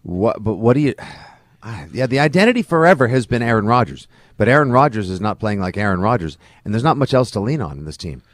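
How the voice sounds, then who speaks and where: Animated man talking football, close and dry. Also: lowish voice, fairly quick, no room sound. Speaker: sports podcast host. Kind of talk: casual football analysis chat.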